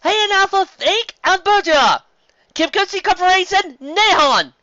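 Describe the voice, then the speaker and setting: A reader voicing a loud female pony contest announcer who calls out the results of a competition. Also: extremely high pitch, lively intonation, a little slow, no room sound, dull audio, voice pushed up, heavily acted. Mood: announcing. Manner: calling out results.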